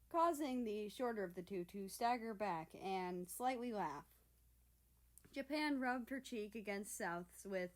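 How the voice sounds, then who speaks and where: A woman reading a story aloud as narrator in an expressive, scripted style. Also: mid-range voice. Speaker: fan fiction reader.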